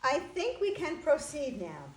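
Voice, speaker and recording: Wedding officiant played by a woman: high voice, formal and benevolent stage reading, slow pace, distant in an echoey room. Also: wildly swooping pitch, a little hiss or hum, exaggerated voice. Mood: solemn, celebratory. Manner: formal, measured. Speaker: actor. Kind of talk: staged play reading, ceremonial.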